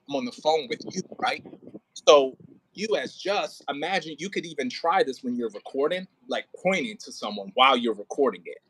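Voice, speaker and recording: A man's voice: on the high side, fairly bright tone, low-quality recording. A man giving informal, conversational rehearsal notes as a director, often demonstrating how lines could be read. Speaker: audio play director coaching an actor. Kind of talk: casual rehearsal coaching and line notes.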